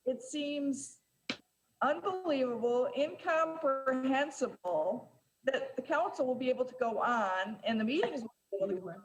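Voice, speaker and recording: A woman presiding over a city council meeting, speaking in a measured way with frequent fillers and restarts. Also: high-pitched, animated, noisy background.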